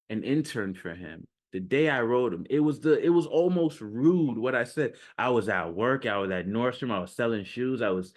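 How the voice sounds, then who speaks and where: A man speaking off the cuff like a self-improvement coach, casual and slangy, repeating phrases for emphasis and often checking in with the listener. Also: mid-range voice. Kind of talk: casual off-the-cuff motivational talk.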